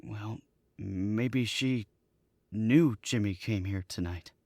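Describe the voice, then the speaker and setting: A man's voice: on the low side, slow, vocal fry, mellow, no room sound, clean studio sound, exaggerated voice. A man acting in an old-time radio drama as a nervous, defensive young accomplice who speaks hesitantly. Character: jittery, guilt-ridden young accomplice, weak and defensive.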